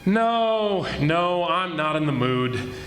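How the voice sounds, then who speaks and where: A slow-talking, weary male police lieutenant acted by a man speaks in a dry deadpan with lively pitch swings. The old-radio style performance sounds echoey and noisy. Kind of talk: 1940s radio detective acting. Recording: echoey, noisy live stage recording.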